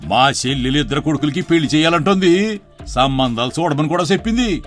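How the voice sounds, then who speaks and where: A man playing a greedy, smooth-talking middle-aged villager who schemes, speaking colloquial rural Telugu in a moral story. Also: a little high, lively intonation, fairly quick, soft background music, close to an everyday voice. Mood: gleeful scheming. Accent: Telugu rural dialect.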